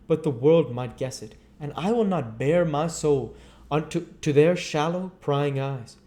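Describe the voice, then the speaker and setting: A man's voice: on the high side, a little slow, mellow, lightly acted. A man voicing an ardent, anguished painter in a dramatic storybook reading, earnest and confessional.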